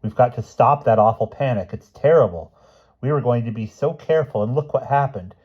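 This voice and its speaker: A man's voice: mid-range voice, fairly quick, slightly rough, highs cut off, pitched above the performer's own voice, lightly acted. A man voicing a bossy, worried boy in a storybook, with urgent, grandly dramatic phrasing.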